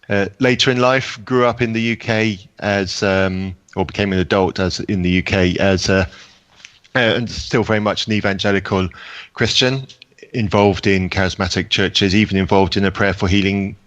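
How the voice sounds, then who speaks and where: A man from Zambia with a low voice talks on a podcast panel. He speaks haltingly and keeps correcting himself mid-phrase. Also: a bit bright, highs cut off. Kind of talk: casual panel chat.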